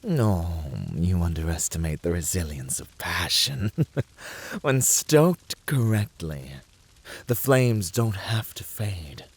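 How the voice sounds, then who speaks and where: A man performs a flirty, passionate incubus for an ASMR roleplay. His low voice is husky and swoops freely as he teases. The delivery is unhurried, theatrical and flamboyant. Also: big pitch swings, exaggerated voice. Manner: teasing, dramatic, flirtatious delivery.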